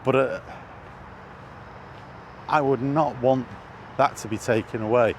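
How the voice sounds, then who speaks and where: A man's voice: mid-range voice, steady tone, slow pace. A middle-aged British man rambling casually as he thinks aloud, often hesitating over his words. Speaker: vlogger. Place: outdoors while walking in an urban area. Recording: noisy outdoor recording with traffic. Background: clear street and vehicle noise.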